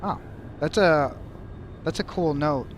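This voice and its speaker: A young American man with a slightly high voice hosts a laid-back chat, asking questions and musing aloud. A faint noise sits underneath. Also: slow. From United States. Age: young adult. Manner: curious, thinking aloud with many "like"s. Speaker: host. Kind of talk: casual podcast chat.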